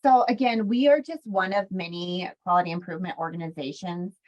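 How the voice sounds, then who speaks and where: Lady doing a casual slide webinar, kinda low voice, stumbles and corrects herself, helpful, muffled lo-fi sound. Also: fairly quick, a little mellow. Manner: helpful, conversational, hesitant phrasing with frequent self-corrections. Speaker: webinar presenter for a health care quality improvement organization. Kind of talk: informal slide presentation. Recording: dull, lo-fi webinar audio.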